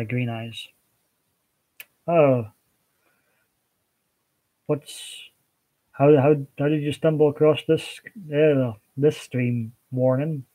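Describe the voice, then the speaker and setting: A Scottish man hosting a casual livestream, chatting with viewers and answering their comments in a relaxed, meandering way. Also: medium pitch, poor audio. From Scotland. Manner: chatty, relaxed, with hesitant restarts. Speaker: livestream host.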